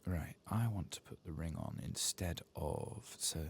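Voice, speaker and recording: Male ASMR gamer, very low creaky voice, soft-spoken to whispered, muttering to himself, faint background noise. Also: a little slow. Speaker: host of an ASMR game playthrough.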